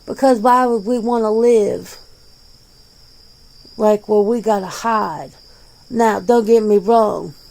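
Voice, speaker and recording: A woman's voice: mid-range voice, slow, creaky, long pauses, a little mellow. A woman voicing a jaded, defensive werewolf in their forties in an ASMR interview roleplay, talking bluntly and casually. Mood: matter-of-fact. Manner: blunt, casual, swearing, conversational.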